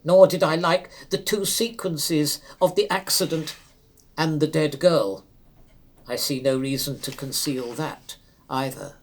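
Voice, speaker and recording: A man narrating a classic literary story aloud in a measured, formal and expressive dramatic reading style. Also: on the high side.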